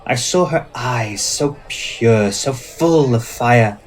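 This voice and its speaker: A man acts out an old-world male vampire with a medium-pitched voice. He is courtly and commanding, with passionate, poetic and formal phrasing, performed theatrically as ASMR roleplay. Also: takes it slowly, close to an everyday voice. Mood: wistful, assured.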